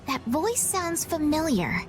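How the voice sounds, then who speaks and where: A woman voicing a gentle, polite, hopeful young woman healer in a video game dub. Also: sits high, very animated, takes it slowly, over music, full character voice. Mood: surprised.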